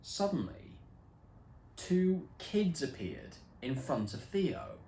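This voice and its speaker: Slow, expressive young male storyteller. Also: fairly high pitch, a little hiss or hum. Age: young adult. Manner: dramatic, expressive reading with slow pauses. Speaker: reader. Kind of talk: storybook reading. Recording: echoey room.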